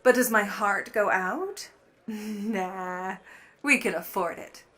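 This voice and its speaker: An actress playing a poised, mischievous 1950s starlet in a radio play, arch and teasing. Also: female voice, medium pitch, slow pace, a bit bright. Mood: breezy. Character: poised, mischievous Hollywood starlet and secret burglar.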